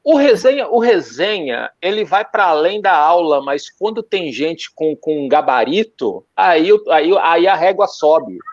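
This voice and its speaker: A man hosting an interview, relaxed and slangy, repeating words as he searches for them. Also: fairly high pitch, a little slow, few pauses, no room sound. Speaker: host. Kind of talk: casual interview chat. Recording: dull, dry sound.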